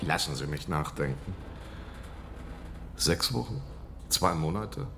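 A man's voice: low voice, animated, takes it slowly, creaky, noisy background, heavily acted. An actor playing a sardonic, unhinged oceanologist in a radio horror drama, mock-polite and menacing as he taunts people. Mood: musing, sardonic. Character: sardonic, unhinged male oceanologist holding strangers at gunpoint. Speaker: stranded researcher and captor.

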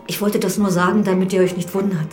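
An actress playing a deferential, curious village housekeeper in a radio drama, plain-spoken and hesitant. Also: female voice, mid-range voice, flat delivery, fast, a little mellow, faint music behind, natural voice. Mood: deferential, confiding.

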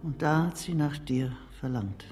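A woman's voice: low-pitched, animated, unhurried, darkish tone. A very old nursemaid and confidante speaks in a radio play with a frank, unflinching manner. Her voice rings in a long reverberant hall, with music faintly behind it. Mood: grave, reproachful. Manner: plain-spoken, unflinching. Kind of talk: German radio drama acting.

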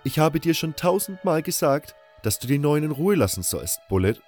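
A man's voice: fairly high pitch, sing-song, normal speed, darkish tone, pitched above the performer's own voice. A composed, firm defender of newcomers speaks with authority in a fantasy radio play, acted out by a man. His voice sits raised above a storyteller's register, with music behind. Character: calm, firm male protector of newcomers. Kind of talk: fantasy audio drama acting.

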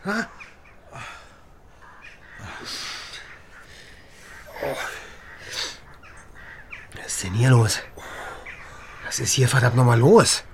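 An actor playing a cynical, sweary East German lieutenant and helicopter pilot in a naturalistic radio crime drama, agitated and sarcastic. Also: male voice, medium pitch, very animated, slow, creaky, background noise, full character voice. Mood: shaken, angry.

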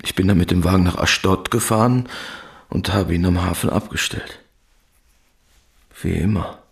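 Radio drama: weary working-class dad, middle-aged, gravelly and deep, guarded, reflective, understated. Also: male voice, moderate pace. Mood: flat, weary. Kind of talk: German radio drama acting, subdued realism.

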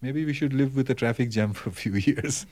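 A man answering interview questions slowly, in a halting, thoughtful way. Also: mid-range voice, a little mellow, dry recording. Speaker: interviewed scientist.

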